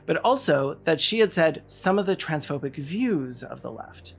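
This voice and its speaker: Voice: medium pitch, expressive, moderate pace, gravelly, husky, soft background music. A video essayist delivering scripted political commentary in an emphatic, sardonic way.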